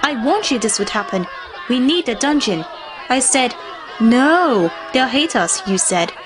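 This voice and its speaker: High female cartoon pony, woman acting, wry and uneasy, music and crowd behind. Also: very animated, unhurried. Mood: dry, reproachful. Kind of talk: cartoon fan fiction audio drama. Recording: music and crowd noise under the voice.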